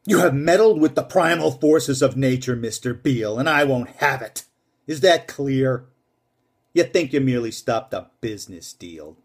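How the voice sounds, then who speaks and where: A man performing a commanding male corporate tycoon, moving from booming shouts to hushed whispers, with a husky voice. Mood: booming wrath. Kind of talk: dramatic monologue recitation.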